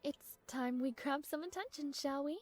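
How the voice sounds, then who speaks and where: A woman's voice: sits high, moderate pace, a little mellow, no echo, faint music behind, close to an everyday voice. A woman playing a strong-willed young princess with formal, regal diction in an audio roleplay, proud but easily flustered. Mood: poised, proud. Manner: formal regal diction, haughty, hesitant stammering when flustered.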